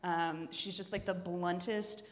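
A woman with a lowish voice speaks as a podcast host recommending books. Her delivery is informal and blunt, with clear enthusiasm for what she describes. Long reverb places her in a hall. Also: fairly even intonation, measured pace. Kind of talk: casual chat before a live group.

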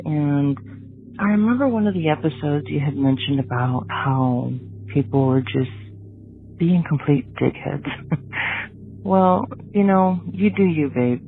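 A woman leaves a casual, slangy voicemail over a narrow phone line. Her voice is gravelly with fry, and she speaks bluntly, with faint noise on the line. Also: sits low. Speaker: listener caller leaving a voicemail.

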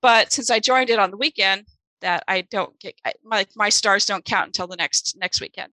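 A woman whose first language is German, co-hosting a podcast in casual, chatty conversation, self-deprecating with quick asides. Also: mid-range voice, bright, forceful tone, dry recording. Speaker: podcast co-host, author. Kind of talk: casual chat.

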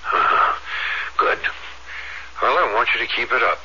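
An actor playing an encouraging, probing counselor guiding a patient in a radio drama. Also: male voice, medium pitch, lively intonation, normal speed, sharp tone. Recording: dull, noisy old radio recording.

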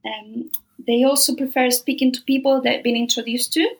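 Woman guest, podcast interview; gravelly, slightly high voice; steady, explanatory, lots of fillers. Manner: measured, explanatory, many ums and uhs. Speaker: translation company director, guest.

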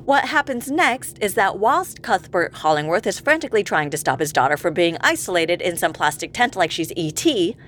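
A woman's voice: fairly low pitch, lively intonation, normal speed, hardly any pauses, a bit bright, slight background noise. An actress playing an exasperated, sarcastic woman boss in a comedy audio drama, piecing together a fiasco. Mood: weary recounting.

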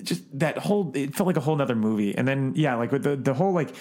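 Man, mid-range voice, casual reviewer, expressive storytelling, conversational. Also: mid-range voice, fast. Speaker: podcast co-host and film reviewer. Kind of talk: casual film discussion.

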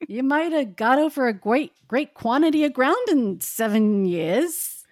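A woman playing a grumpy old miser who swears and fumbles, his voice pitched high and swooping all over the place. The reading is comic and over the top. It is recorded close and dry. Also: expressive, measured pace, dry recording. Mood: wheedling. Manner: swearing, mock-dramatic, fumbling with uh.

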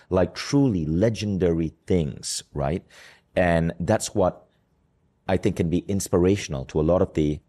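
A man hosting a podcast interview, with a very low voice and a dark, mellow tone. He speaks effusively and heaps praise on his guest. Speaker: podcast host.

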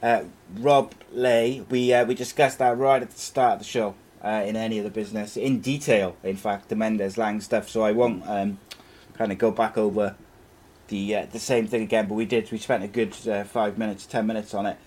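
A man's voice: fairly low pitch, fairly even intonation, moderate pace, a little mellow. Laid-back man hosting football chat. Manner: laid-back, chatty, calls co-host mate. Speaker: show host. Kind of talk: casual football chat show.

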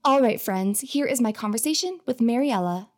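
A woman's voice: a little high, expressive, normal speed. A woman hosting a podcast, reading an upbeat intro and chatting with a guest in a bubbly, enthusiastic way. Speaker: podcast host.

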